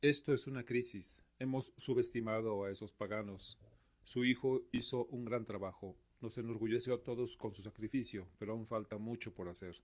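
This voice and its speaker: Radio drama role: dignified seventy-something emperor, earnest and formal, husky, played by a man, muffled recording. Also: lowish voice, steady tone, normal speed, close to an everyday voice. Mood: grave. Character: upright, lucid emperor in his seventies, remorseful. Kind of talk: Spanish-language radio drama acting. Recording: dull lo-fi audio.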